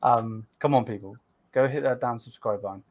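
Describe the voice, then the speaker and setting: A laid-back man chats on a late-night livestream. His voice sits in an ordinary middle pitch, and his talk is loose and sweary as he presses viewers to subscribe. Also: moderate pace, lo-fi sound. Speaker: channel host.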